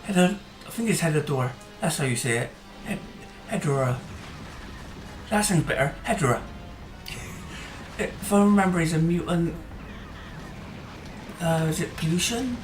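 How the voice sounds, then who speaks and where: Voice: medium pitch, animated, moderate pace, long pauses. A gaming channel host giving casual, rambling commentary over gameplay, full of hesitant fillers and restarts, his voice tiring as he goes. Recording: roomy echo, faint music behind.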